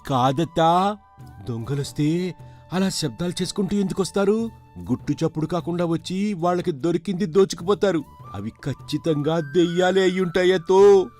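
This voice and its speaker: A man voicing an excitable, superstitious village manservant with exclamatory rustic speech in a folk tale. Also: high-pitched, normal speed, soft background music, natural voice. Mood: nervous. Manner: exclamatory, colloquial rustic phrasing.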